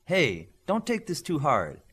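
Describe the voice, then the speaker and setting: A man's voice: a little high, huge pitch swings, measured pace, fairly bright tone, slightly rough, voice pushed up, exaggerated voice. A man voicing a cocky, joking teenage boy superhero in a storybook reading, casual and punchy. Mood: consoling.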